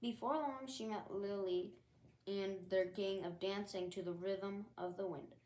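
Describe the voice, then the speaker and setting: A woman reading a children's picture book aloud as a storyteller, with expressive narration. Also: medium pitch, unhurried, reverberant, a little hiss or hum, low-quality recording.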